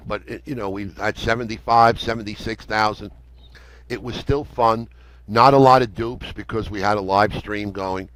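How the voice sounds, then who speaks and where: A man's voice: on the low side, fairly bright tone, dry recording, low-quality recording. An older American man, telling a story conversationally as an interview guest. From United States. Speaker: interview guest, ham radio operator.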